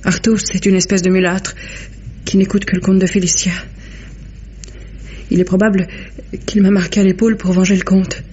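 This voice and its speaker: A woman's voice: medium pitch, level tone, warm tone, slightly rough, natural voice. An actress as a frightened, weakened woman victim. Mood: subdued. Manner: faint, halting, plaintive. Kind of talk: old French radio drama acting. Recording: dull old radio recording, faint noise.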